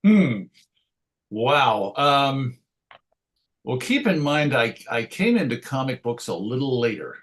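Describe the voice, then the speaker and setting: A man's voice: medium pitch, very animated, slow pace, long pauses, a bit bright. A man speaking as an interview guest, conversational and thoughtful, illustrating his points with anecdotes and quoted asides. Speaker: interview guest, radio show host.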